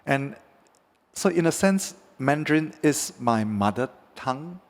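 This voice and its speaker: A man's voice: a little high, lively intonation. A man from Singapore speaking on a panel, hesitant and reflective, with frequent stutters and restarts. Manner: hesitant, slow, stuttering delivery. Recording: reverberant hall.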